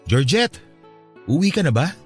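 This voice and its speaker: A Filipino man playing a gentle, resigned young ex-boyfriend in a radio romance drama, subdued and plain-spoken. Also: on the high side, very animated, a little slow, soft background music. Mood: tentative, kind.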